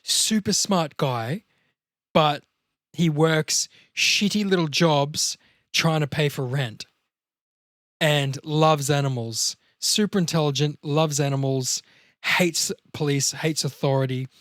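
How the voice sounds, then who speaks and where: A man with a fairly high voice talks casually on a podcast, recorded close and dry. He riffs with animation and swears freely, in the manner of an actor among friends. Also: slow, dry recording. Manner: animated riffing with swearing and bits of mimicry.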